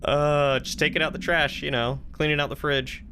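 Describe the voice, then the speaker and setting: A man's voice: on the high side, level tone, normal speed, bright, forceful tone, a little hiss or hum. A man doing casual, wry live game commentary and chatting with viewers as he plays. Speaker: game streamer.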